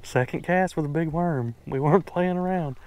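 A man's voice: on the high side, normal speed, darkish tone, low-quality recording. A man chatting casually to camera as a folksy fishing vlog host, out on a small boat. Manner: folksy, addresses viewers as folks. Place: outdoors on a small boat on a lake. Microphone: action camera worn on or near the body. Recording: outdoor noise on action camera.

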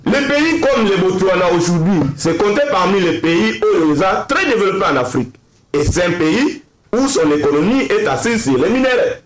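A Congolese man makes his case on a televised panel. His voice is fairly high for a man, with strong room echo on it. He is earnest and deliberate, building his argument with rhetorical questions. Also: animated. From Democratic Republic of the Congo. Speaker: political debate panelist. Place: TV studio round table. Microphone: desk microphone. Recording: echoey studio sound.

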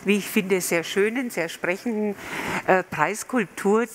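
A woman presenting an award in a formal speech, pausing with fillers, in a wood-panelled hall. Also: fairly low pitch, slight background noise. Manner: hesitant with fillers.

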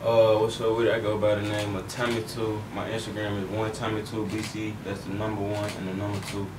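A man's voice: on the low side, little pitch movement, brisk. A young man from Dallas, laid-back and slangy as an interview guest. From Dallas, Texas. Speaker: rapper guest. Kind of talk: casual interview chat. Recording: roomy, distant, noisy.

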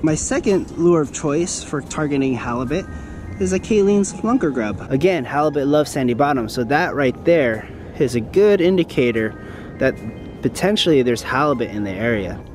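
A man's voice: medium pitch, lively intonation, moderate pace, a little mellow. A man in his thirties, presenting an instructional vlog in a casual, step-by-step manner. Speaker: fishing vlogger presenting a tutorial. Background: background music.

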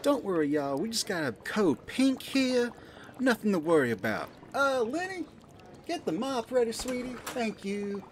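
Folksy, lively pink elephant barmaid, played by an amateur actor. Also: mid-range voice, a little slow, slight background noise. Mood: flustered, reassuring. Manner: folksy, coaxing, calls listener sweetie and dear. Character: voluptuous pink balloon elephant barmaid, bubbly, motherly, flirty. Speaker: soda bar server in an audio play. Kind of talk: amateur audio play, second-person roleplay monologue.

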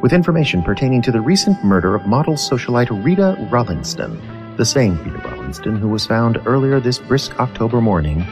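An actor playing a hard-boiled newspaper reporter, narrating a case in a dramatic, wordy noir radio drama style. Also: male voice, medium pitch, very animated, a little fast. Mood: brooding narration. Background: music.

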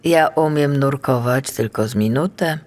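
A woman's voice: extremely low pitch, unhurried, darkish tone. A woman voicing a curious, easily amazed little boy on a tour in a children's audiobook.

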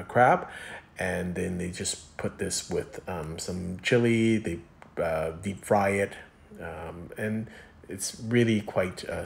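Male food reviewer, low voice, casual and explanatory voice-over, somewhat hesitant and rambling. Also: creaky.

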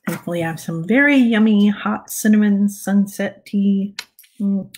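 Raspy-voiced Texan woman in middle age, slow easygoing livestream host, lapel mic at home. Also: mid-range voice. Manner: relaxed, clears throat often, chuckles softly. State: raspy voice from little sleep. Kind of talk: casual chat greeting viewers. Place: home study with bookshelves. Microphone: clip-on lapel mic, webcam.

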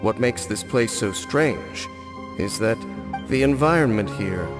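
An actor playing a brooding, cursed immortal knight in a video game, speaking in a grave, formal, measured way. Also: male voice, on the low side, animated. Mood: wary. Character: brooding cursed immortal knight, former royal guard captain. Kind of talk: scripted video game dialogue. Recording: music under the voice.

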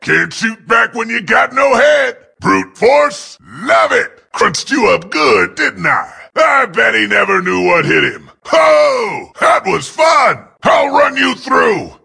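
An actor voicing a boisterous, trigger-happy robot brawler in a video game, brash and gleeful in loud combat barks. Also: male voice, very high-pitched, wildly swooping pitch, takes it slowly, vocal fry, few pauses, slightly rough, heavily acted. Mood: gleeful, rowdy.

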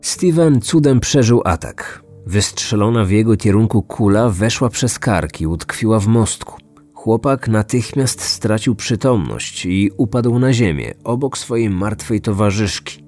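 A man with a low voice narrates a scripted true-crime story as a podcast host. Music plays beneath his narration. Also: expressive, measured pace, a little mellow.

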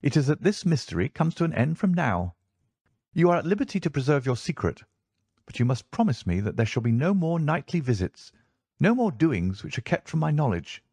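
A man's voice: a little high, big pitch swings, a little fast, a little mellow, slightly rough, no echo, full character voice. A man voicing a troubled, suspicious husband in an audiobook, insistent, stern and agitated. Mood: firm. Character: troubled, suspicious husband confronting his wife. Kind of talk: audiobook character dialogue.

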